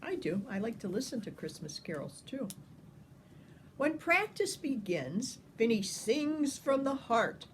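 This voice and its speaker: An older woman reading a children's picture book aloud with expressive, deliberate phrasing, like a grandmother talking to young listeners. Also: fairly high pitch, a little hiss or hum.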